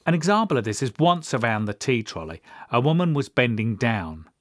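A man's voice: medium pitch, big pitch swings, brisk, no room sound, studio quality. A mature man hosting a podcast, narrating memories from his own life in a personal, storytelling way. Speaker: podcast host.

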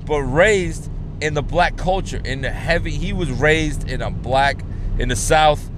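A man's voice: a little high, unhurried, noisy background. A man with an American accent telling stories casually on a podcast, slangy and repeating phrases for emphasis. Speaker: podcast host. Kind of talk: casual storytelling podcast talk.

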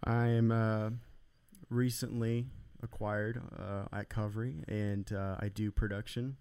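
Very deep, flat, smooth-voiced man. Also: slow pace. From Arlington, Texas. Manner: rambling, hesitant, many fillers. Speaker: church production volunteer. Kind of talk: casual podcast chat.

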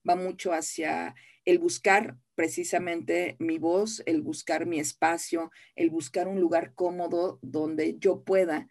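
A woman's voice: fairly low pitch, a little mellow. A Mexican woman who teaches voice acting, speaking earnestly and encouragingly, repeating phrases for emphasis. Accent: Mexican Spanish. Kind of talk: interview guest.

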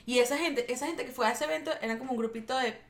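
A woman's voice: high voice, racing. A young woman with a Venezuelan Spanish accent, chatting casually with many fillers and frequent laughs. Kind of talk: casual chat.

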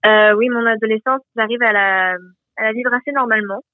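A woman's voice: a little high, steady tone, brisk, no room sound. A teenage girl from the south of France answers interview questions down a phone line. Her voice comes through tinny and bone-dry, with no room around it. She sounds cheerful and hesitant, stopping and restarting her sentences often. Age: teens. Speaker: guest. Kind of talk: radio interview.

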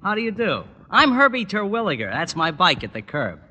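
A man's voice: high-pitched, huge pitch swings, brisk. A man playing an earnest, self-important young telegram boy in a 1940s radio comedy. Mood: eager, officious. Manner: pompous, solemn, talkative. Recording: old radio recording with faint noise.

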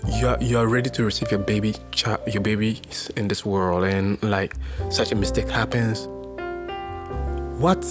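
Ghanaian male podcast host, lowish voice, reads the news and then sounds off on it, light music underneath. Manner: reads news aloud then reacts with exclamations. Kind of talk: casual podcast commentary reading news.

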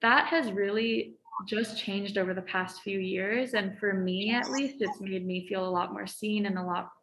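Teen girl, student panelist, chatty and filler-heavy, middle pitch, roomy echo. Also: steady tone, moderate pace, a bit bright, slight background noise. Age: teens. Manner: chatty, frequent fillers, laughs between phrases. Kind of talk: casual conversation.

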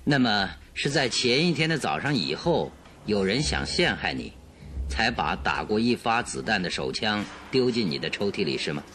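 An actor playing a shrewd, composed detective who questions with measured authority in a radio drama. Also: male voice, fairly low pitch, sing-song, fairly bright tone, a little hiss or hum. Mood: analytical.

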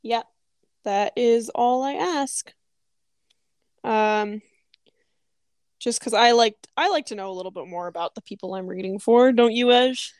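A woman's voice: a little high, measured pace, pauses often, darkish tone, smooth, no echo, studio quality. A woman co-hosting a casual live audio chat as a tarot reader, chatty and giggly. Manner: chatty, giggly, self-conscious.

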